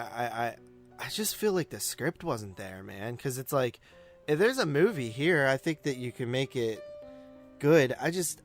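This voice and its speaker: A man chatting informally and haltingly as co-host of a movie podcast. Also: medium pitch. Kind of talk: casual chat reviewing films.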